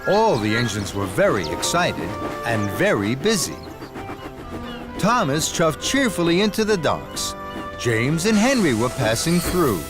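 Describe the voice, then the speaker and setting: A man's voice: mid-range voice, huge pitch swings, a little slow, over music. A man narrating a scripted children's story as the storyteller.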